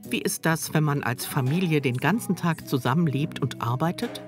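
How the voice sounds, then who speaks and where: A reporter narrating a news feature in a measured way, with a lively intonation. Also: medium pitch. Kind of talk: scripted narration. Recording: guitar music underneath.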